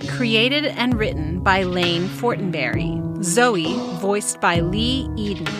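A woman's voice: medium pitch, slow. A woman reading scripted credits as an announcer for an audio production. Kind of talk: scripted narration. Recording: loud music under voice.

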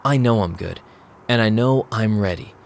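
A man's voice: on the low side, moderate pace, gravelly, slight background noise. An actor playing an anxious, wisecracking vampire DJ, telling his story in casual slang for an audio drama. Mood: self-assured. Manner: casual, slangy, self-doubting. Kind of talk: first-person audio drama narration and dialogue.

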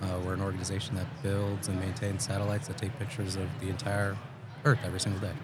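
A man in a podcast interview, a guest who leads talent acquisition, explaining his views in a measured, earnest way. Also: sits low, completely flat delivery, background noise. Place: live at a trade event booth.

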